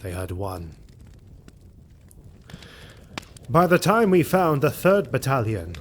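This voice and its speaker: Man acting a slow-speaking, grim army officer. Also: medium pitch, slight background noise, natural voice. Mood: grave. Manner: solemn, formal, deliberate, emphatic delivery. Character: grim, vengeful male Imperial army officer recounting war. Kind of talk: dramatic fantasy audio drama monologue.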